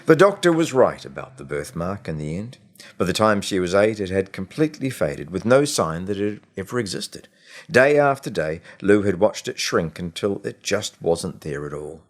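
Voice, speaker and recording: Low-pitched man narrating a story. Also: sing-song, normal speed. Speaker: author reading own short story. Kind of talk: scripted audiobook narration.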